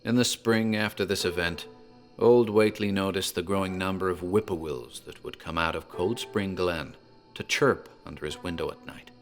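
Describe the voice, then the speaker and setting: A man playing a talkative rural tavern landlord who recounts a local horror tale in a measured, ominous manner. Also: low-pitched. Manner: measured, ominous, literary phrasing. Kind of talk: dramatic horror storytelling. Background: music.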